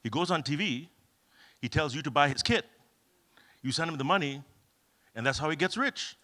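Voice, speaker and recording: A man's voice: fairly high pitch, expressive, moderate pace, sharp tone. A middle-aged man from Kenya giving a conference talk, telling humorous, self-deprecating stories with many fillers. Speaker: keynote speaker. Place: event hall.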